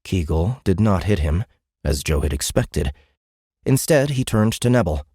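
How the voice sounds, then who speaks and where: A man's voice: deep voice, expressive, measured pace, dark tone, husky, dry recording, clean studio sound. A man reading scripted, dramatic science fiction narration as an audiobook narrator.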